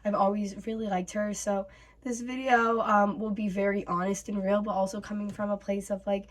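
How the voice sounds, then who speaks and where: A woman in her twenties hosting a reaction vlog, voice raspy from bronchitis, chatting casually with many fillers. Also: medium pitch, level tone, moderate pace. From North America. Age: 20s. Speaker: YouTube host.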